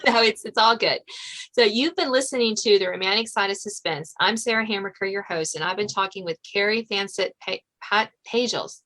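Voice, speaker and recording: A woman's voice: medium pitch, a little fast, a bit bright. A woman hosting a podcast, talking as an author in a conversational interview style.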